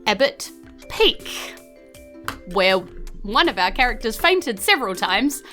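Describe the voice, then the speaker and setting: Female game master, New Zealand accent, bright high-ish voice, informal narration with music behind. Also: very animated, slow.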